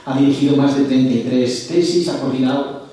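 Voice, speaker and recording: A man's voice: mid-range voice, fairly quick, mellow, recorded from a distance. Hesitant man introducing a lecture. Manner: hesitant, many fillers and restarts. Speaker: lecture presenter. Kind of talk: formal introduction at a public lecture. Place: large echoey lecture hall. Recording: echoey hall, speaker off-mic.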